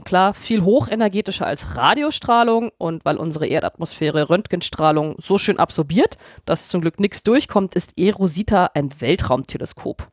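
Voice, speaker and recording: Erkältete Frau, trockener Klang. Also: female voice, on the low side, darkish tone, no echo, clean studio sound. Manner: enthusiastic, laughs often. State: has a cold. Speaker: podcast co-host, astrophysicist. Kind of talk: casual science podcast storytelling.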